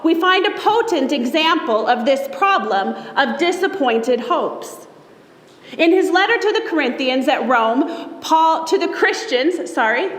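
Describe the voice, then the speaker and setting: High-pitched woman preaching in an echoing hall. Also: fairly bright tone. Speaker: pastor. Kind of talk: sermon read aloud from a text. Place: church sanctuary during a service. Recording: echoey church hall with long reverb.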